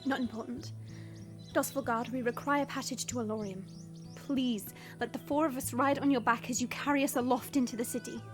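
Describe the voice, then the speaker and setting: A proud young princess, acted by a woman, speaks in a high, regal voice with formal, haughty resolve. It is fantasy audio drama, set over clearly audible music. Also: steady tone, brisk, close to an everyday voice. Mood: commanding. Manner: formal, commanding.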